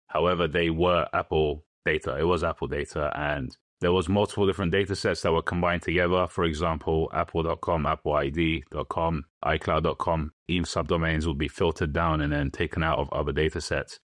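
Young guy, super deep low voice, dry close sound, just talking it through plainly on a podcast. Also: steady tone, fairly quick, hardly any pauses, fairly bright tone, no room sound. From London, England. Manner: plain, matter-of-fact explaining with loose restarts. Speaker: guest. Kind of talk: podcast interview answers.

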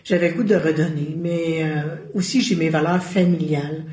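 A woman's voice: low-pitched, steady tone, normal speed, dark tone. A woman with a Québécois French accent, a guest in an interview, talking earnestly and searching for her words as she goes. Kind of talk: casual conversational interview. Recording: roomy echo.

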